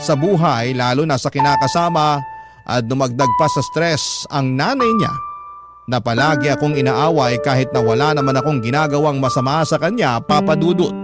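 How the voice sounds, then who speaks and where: A man's voice: medium pitch, normal speed, over loud music. A man with a Filipino accent narrating a scripted, sentimental first-person letter as a radio storyteller.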